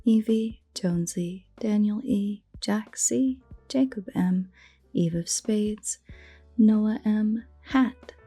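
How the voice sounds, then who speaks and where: A woman's voice: medium pitch, takes it slowly, mellow, husky, soft background music. A woman audio performer speaking casually as herself in an outro, thanking her listeners and supporters.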